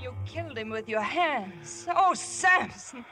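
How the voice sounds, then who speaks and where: A woman's voice: a little high, big pitch swings, takes it slowly, pushed and bright, soft background music, exaggerated voice. An actress playing a bold, scheming young girl who is ardently infatuated, in a 1950s radio drama. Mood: adoring.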